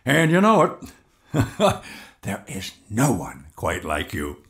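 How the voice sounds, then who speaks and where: An actor playing a jovial literary agent in an audio drama, effusive with flattery and fond of chummy teasing. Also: male voice, fairly low pitch, takes it slowly, creaky, exaggerated voice.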